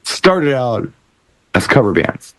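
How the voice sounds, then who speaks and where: A man's voice: medium pitch, very animated, slow, a bit bright, no room sound, rough recording. A middle-aged American man in casual panel chat, blunt and slangy, repeating phrases for emphasis. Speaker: DJ and podcast panelist.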